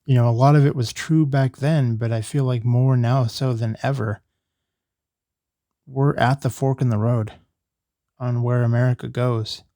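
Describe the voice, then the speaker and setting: A man hosting a solo podcast, talking casually and reflectively in halting phrases. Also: medium pitch, fairly even intonation, warm tone, no echo, clean studio sound. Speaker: podcast host.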